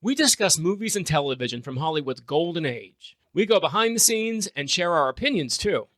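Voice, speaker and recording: Male podcast co-host, film historian type; slightly high, very animated, playful. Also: dry recording. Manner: playful, expressive, quick to react. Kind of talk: casual podcast chat.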